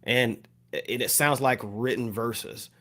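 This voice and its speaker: A man's voice: medium pitch, takes it slowly, fairly bright tone. A man co-hosting a podcast, talking casually and appreciatively about hip hop, often repeating words as he goes. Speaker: podcast co-host.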